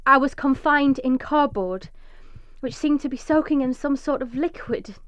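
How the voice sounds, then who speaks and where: An actress playing a desperate, vengeful mother trapped underground, narrating intensely in a breathy first-person radio drama monologue. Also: high-pitched, normal speed, natural voice. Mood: urgent. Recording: echoey, slightly dull recording.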